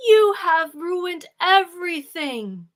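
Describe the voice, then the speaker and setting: Lady storyteller doing a cursed prince guy, pleading, high and bright, drags his words out. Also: big pitch swings, takes it slowly, heavily acted. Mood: anguished.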